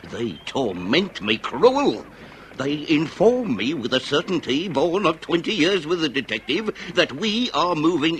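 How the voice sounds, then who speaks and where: Grandiloquent detective, middle-aged, highish lively pitch, commanding, period radio comedy. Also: male voice, a little hiss or hum, full character voice, poor audio. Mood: doom-laden. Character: pompous, theatrical veteran male Victorian police inspector.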